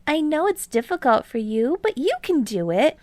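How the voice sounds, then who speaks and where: An eager teenage boy athlete, played by a woman, pitched high and full of playful energy. He sounds bright and teasing, as a character in a story reading, recorded close and dry. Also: big pitch swings, moderate pace, no room sound. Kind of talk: audiobook character voice in fan fiction reading.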